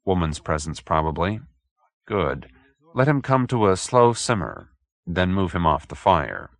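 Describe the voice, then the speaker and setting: A man's voice: low voice, big pitch swings, measured pace, no echo. A man narrating fiction from a script as an audiobook reader.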